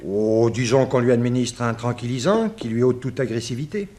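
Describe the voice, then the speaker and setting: An actor playing an earnest, worried police chief in a French radio drama, speaking in a deliberate, slightly hesitant way. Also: male voice, medium pitch, darkish tone, close to an everyday voice. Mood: concerned, puzzled. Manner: deliberate, hesitant, matter-of-fact.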